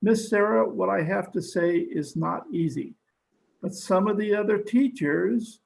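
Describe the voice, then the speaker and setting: An actor playing a formal, hesitant music master in an old-time radio drama, halting and apologetic. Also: male voice, high-pitched, gravelly, darkish tone, low-quality recording. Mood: uneasy. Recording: faint hiss on home recording.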